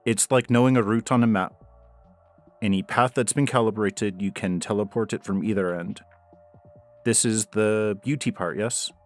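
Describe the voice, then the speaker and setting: A man voices an earnest Hungarian hacker guy in an audio story. His voice sits fairly low, and he explains eagerly. Soft music plays behind him. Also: fairly even intonation, normal speed, lightly acted. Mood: explaining. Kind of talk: dramatized audio story reading.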